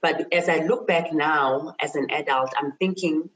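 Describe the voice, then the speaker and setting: A woman's voice: deep voice, unhurried, lo-fi sound. A middle-aged woman with a South African accent, speaking in a reflective, personal interview style, earnest and emphatic, repeating phrases for stress. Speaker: university chemist and lecturer, interview guest.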